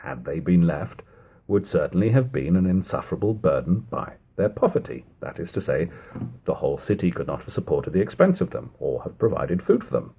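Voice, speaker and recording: A man reading classic literature aloud as an audiobook narrator, in a measured, formal manner with long phrases. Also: deep voice, very animated, mellow, husky. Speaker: audiobook reader.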